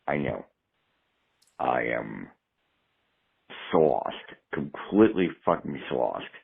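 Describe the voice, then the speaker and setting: A man's voice: a bass voice, very animated, slow pace, vocal fry, slightly rough. A man leaving a rambling, profane voicemail for a show, jumping from topic to topic. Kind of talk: rambling phone message. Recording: tinny phone line voicemail.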